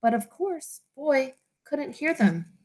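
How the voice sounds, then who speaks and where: Lady teacher doing storytime for little kids, takes it slow, very expressive up and down voice. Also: high voice. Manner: slow, deliberate, simple phrasing for young children. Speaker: teacher reading aloud to children. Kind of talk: expressive children's storybook read-aloud.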